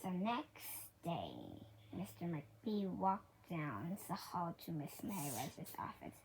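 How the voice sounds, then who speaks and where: A woman reading a children's book aloud in a halting, deliberate way. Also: lowish voice, big pitch swings, darkish tone, a little hiss or hum, rough recording. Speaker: storybook reader.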